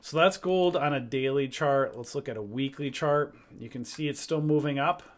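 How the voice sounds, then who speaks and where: A man walking viewers through stock charts in a loose, rambling live recap, tired and losing his voice, often clearing his throat. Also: mid-range voice, normal speed. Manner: loose, rambling, many fillers and restarts, addresses viewers as guys. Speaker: stock trading educator. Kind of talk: casual live chart walkthrough.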